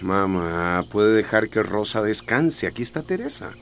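An exasperated, quick-tempered son. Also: male voice, lowish voice, sing-song. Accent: Costa Rican. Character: worried middle-aged son, quick-tempered husband. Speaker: radio drama actor. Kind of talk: radio drama acting.

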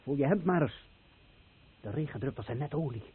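An actor playing a troubled, earnest fleeing villager in a radio drama, uneasy and questioning. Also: male voice, medium pitch, lively intonation, a little fast, breathy edge. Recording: old radio play, tinny, faint noise.

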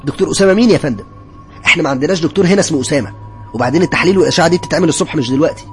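A baffled male hospital receptionist, acted out by a man telling a story with an Egyptian accent. He sounds indignant and irritated, with raised pitch and rapid delivery. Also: lively intonation, faint music behind, close to an everyday voice. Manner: indignant, questioning.

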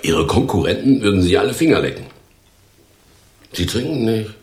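A man's voice: on the low side, animated, moderate pace, a little mellow, echoey room, a little hiss or hum, close to an everyday voice. An actor in a radio play, playing a grandiose down-and-out former stage performer who poses as a big businessman, talking in a theatrical, persuasive way. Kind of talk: German radio play acting.

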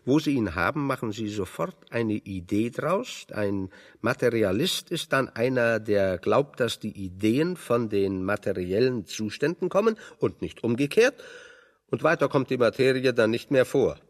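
An actor playing a wry, pessimistic exiled intellectual in a German radio drama, lecturing with pointed irony. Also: male voice, mid-range voice, lively intonation, normal speed, lightly acted. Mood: wry, musing. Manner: ironic, discursive, pointed.

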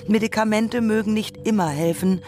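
A woman performing a scripted literary radio essay in a reflective, rhetorical manner with deliberate phrasing. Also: mid-range voice, dark tone, faint music behind.

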